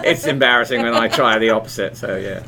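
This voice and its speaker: A man's voice: on the low side, quick pace, gravelly, very noisy. A man with an English accent, a podcast guest, chatting casually and teasing the others. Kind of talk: casual chat.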